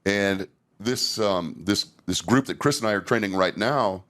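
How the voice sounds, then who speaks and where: A man's voice: fairly low pitch, a little slow, fairly bright tone. An older man hosting a casual podcast conversation, speaking candidly as an experienced safety trainer.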